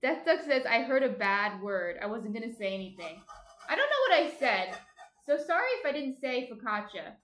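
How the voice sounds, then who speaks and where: A woman's voice: on the high side, sing-song, normal speed, a bit bright, reverberant, faint music behind. A woman with a slight New Jersey accent hosts a baking livestream, reading viewer comments aloud and chatting as she cooks. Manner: chatty, thinks aloud while cooking.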